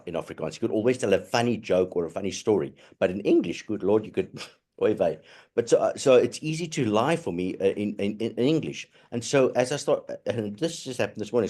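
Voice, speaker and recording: A man in a casual group discussion, talking haltingly with many restarts and murmurs of agreement. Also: lowish voice, a little mellow. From South Africa.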